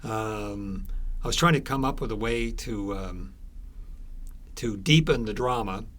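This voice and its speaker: Male author interviewee, lowish voice, conversational, feels his way through explanations. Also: measured pace, fairly bright tone. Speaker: author being interviewed about his book.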